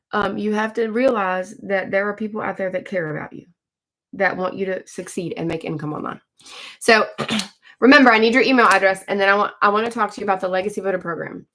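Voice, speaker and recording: Forty-something woman on a phone livestream from home, chatty, calls out viewers by name, clears her throat a lot. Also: medium pitch, a bit bright. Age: 40s. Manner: chatty, greets viewers by name mid-sentence. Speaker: livestream host. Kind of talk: casual live-stream chat with viewers.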